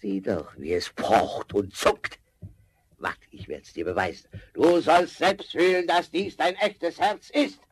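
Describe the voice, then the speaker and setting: A man's voice: fairly high pitch, slow, slight background noise. A man acting a cunning, boastful giant demon in a fairy-tale audio drama, blustering and theatrical. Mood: agitated, boastful. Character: boastful, cunning male giant demon who hoards human hearts.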